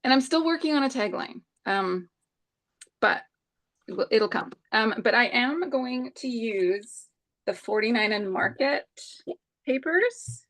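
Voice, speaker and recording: Woman in her 40s, fairly high voice, hesitant crafting chat. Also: expressive, unhurried, a bit bright. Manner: thoughtful, hesitant with many ums. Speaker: co-host of a live scrapbooking crop. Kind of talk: casual chat while crafting.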